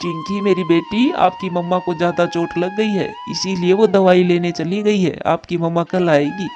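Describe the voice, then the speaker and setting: A man's voice: sits high, fairly even intonation, normal speed, a little mellow, muffled recording, soft background music, close to an everyday voice. A man voicing a caring, troubled adult male bird in a cartoon moral story, speaking earnestly and with plain drama. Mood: gentle, sad.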